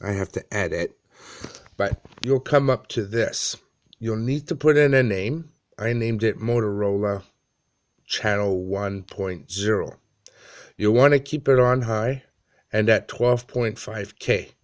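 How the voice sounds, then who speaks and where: Slow-talking, low-voiced man giving a tutorial. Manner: halting, plain-spoken, pauses often. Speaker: amateur tutorial presenter. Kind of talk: casual how-to walkthrough. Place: small dim room indoors.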